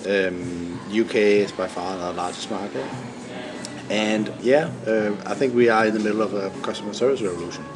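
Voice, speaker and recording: A man's voice: fairly low pitch, fairly even intonation, moderate pace, music in the background. A man with a Danish accent answering interview questions, explaining his points steadily and often restarting phrases. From Denmark. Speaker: company founder and CEO, guest. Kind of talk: business podcast interview. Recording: noisy room.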